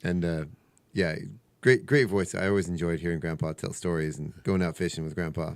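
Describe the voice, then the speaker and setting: A man's voice: low voice, brisk, dry recording. A man hosting a casual radio chat, rambling through stories in a chatty, conversational way. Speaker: radio show host. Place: radio studio.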